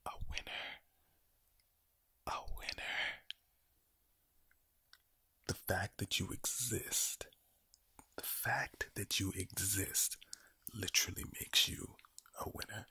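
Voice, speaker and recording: A man delivers soothing ASMR reassurance in a deep, villainous whisper. His voice is very breathy and gravelly, drawn out slowly as he repeats phrases to the listener. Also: bright, forceful tone, dry recording. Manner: slow, repetitive, soothing address to the listener. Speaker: ASMR creator speaking to the listener.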